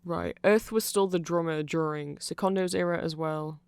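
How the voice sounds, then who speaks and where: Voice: mid-range voice, moderate pace, creaky, clear, resonant tone, no room sound, close to an everyday voice. Someone playing a nerdy, rambling vampire, close and dry. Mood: rambling, explanatory. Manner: rambling, digressive, self-conscious, apologetic. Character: nerdy rambling vampire band fan, eager and apologetic. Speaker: ASMR performer. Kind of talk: ASMR roleplay, unscripted rambling explanation.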